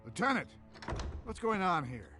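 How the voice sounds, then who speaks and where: A man's voice: sits high, sing-song, normal speed, sharp tone, full character voice. A man playing a stern, distrustful senior police commissioner in a video game, speaking in a curt, commanding way. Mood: stern, hostile. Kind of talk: dramatic video game acting. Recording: music under the voice.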